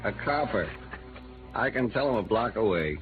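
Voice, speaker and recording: An actor playing a drunk, chummy newspaper reporter who talks in a repetitive, mock-solemn way in a 1950s radio drama. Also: male voice, on the low side, lively intonation, moderate pace, faint music behind, close to an everyday voice. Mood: tipsy, chummy. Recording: muffled, noisy old radio recording.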